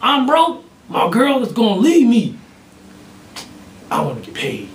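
A man's voice: very high voice, huge pitch swings, a little slow, exaggerated voice. A man playing an underpaid backup singer who complains in a whiny, aggrieved way, recorded from a distance in an echoey room. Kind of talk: comedy sketch acting.

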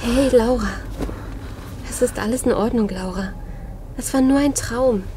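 An actress plays a caring survivor who reassures her companions in a zombie radio play. Her voice is a little high, over clear vehicle ambience. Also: measured pace, mellow, slight background noise, close to an everyday voice. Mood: reassuring. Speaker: voice actor. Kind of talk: audio drama acting.

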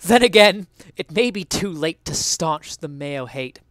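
A man's voice: a little high, expressive, measured pace, fairly bright tone. A young man giving a dramatic reading of an essay in a theatrical, mock-indignant, emphatic manner on a headset mic. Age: young adult. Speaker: streamer reading an article aloud. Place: small indoor room at home.

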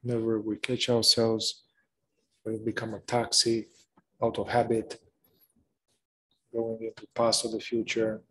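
A man leading a guided meditation, speaking gently in short phrases with long pauses between them. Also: on the low side, monotone, slightly rough, rough recording. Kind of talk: slow guided meditation narration.

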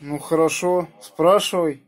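A man's voice: on the high side, steady tone, a little slow. A man talking casually as an amateur radio operator, indoors in a small room. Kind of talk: casual ham radio chat.

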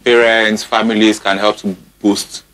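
A man's voice: on the low side, little pitch movement, a little slow, fairly bright tone. A man in his thirties with a Nigerian accent, hosting a conversational TV studio interview. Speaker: TV interview host. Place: TV studio, indoors.

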